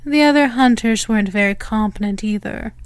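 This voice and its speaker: Actress as female vampire for ASMR roleplay: higher-pitched, unhurried, haughty and menacing, very dry close recording. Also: clean tone, dry recording, lightly acted. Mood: amused, condescending. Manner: teasing, theatrical, deliberate phrasing.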